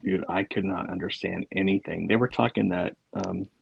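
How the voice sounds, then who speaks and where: A man's voice: low voice, steady tone, moderate pace, creaky, slightly rough, no room sound, lo-fi sound. A man co-hosting a podcast, laid-back and slangy, with lots of fillers. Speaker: podcast co-host. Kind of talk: casual chat.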